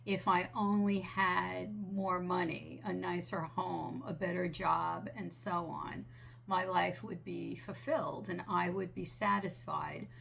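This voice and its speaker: A woman channeler talking gently and earnestly, her voice raspy from a sinus infection. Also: on the low side, steady tone, slight background noise, low-quality recording. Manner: slow, gentle, earnest with small restarts. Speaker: spiritual channeler. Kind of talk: relaxed monologue relaying a channeled message.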